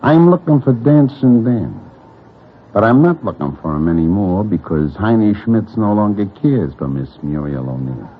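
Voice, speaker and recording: A laconic, oddly polite gunman of radio drama. The voice is husky and mid-pitched with lively turns, in narrow lo-fi sound. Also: normal speed.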